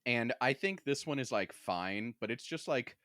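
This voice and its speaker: A man's voice: medium pitch, lively intonation, normal speed, pushed and bright, no echo, clean studio sound. A man co-hosting a podcast in casual chat, explaining things and freely giving his opinions. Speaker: podcast co-host.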